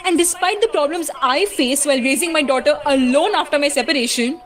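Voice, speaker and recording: A woman playing a stern, sharp-tongued single mother in an amateur radio play, emphatic and scolding. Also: sits high, fairly quick, soft background music, close to an everyday voice. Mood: accusing.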